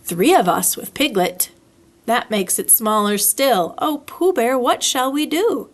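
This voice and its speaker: A woman's voice: fairly high pitch, expressive, unhurried, slight background noise. A woman voicing a kind, eager young boy who leads his animal friends in a children's storybook, earnest in manner. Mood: worried.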